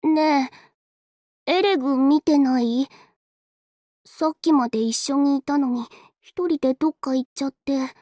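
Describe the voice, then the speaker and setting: A shy young female android fighter from an anime game. The voice is high and unhurried, recorded very dry. The delivery is anxious and earnest, with timid, stammering hesitations. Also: mellow, dry recording. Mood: timid, downcast. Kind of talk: Japanese anime game voice lines.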